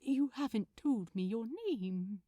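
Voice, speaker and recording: A woman voicing a gentle, polite male angel in a read-aloud story, with a soft, prim and courteous manner. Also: mid-range voice, a little slow, no room sound, clean studio sound, close to an everyday voice.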